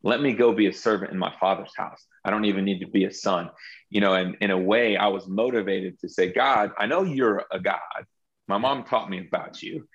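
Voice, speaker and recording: A man's voice: lowish voice, a little fast, a bit bright, muffled recording. A man sharing his faith story as a guest in an interview, earnest and reflective, often restarting his sentences. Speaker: guest entrepreneur.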